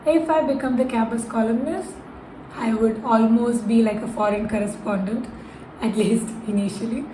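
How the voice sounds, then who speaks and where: A woman's voice: fairly high pitch, fairly even intonation, moderate pace. A young adult woman, a university student, giving a prepared introduction of herself. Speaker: university student, columnist nominee. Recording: echoey room with noticeable noise.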